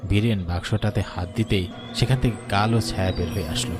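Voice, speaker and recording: A man's voice: fairly low pitch, fairly even intonation, fairly quick, loud music behind the voice. A man reading a scripted horror story as narrator, dramatic and suspenseful.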